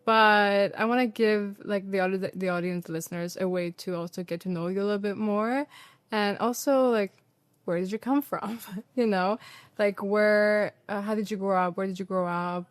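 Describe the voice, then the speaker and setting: A woman's voice: mid-range voice, fairly even intonation, normal speed, dry recording. A woman hosting a podcast, asking rambling, enthusiastic questions full of "like". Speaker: podcast host. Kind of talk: warm podcast interviewing.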